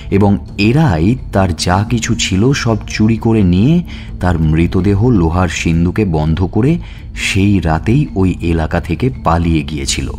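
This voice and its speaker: An actor playing a police detective who narrates his murder investigation in a deliberate, questioning manner in an audio suspense story. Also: fairly low pitch, darkish tone. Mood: grave, recounting.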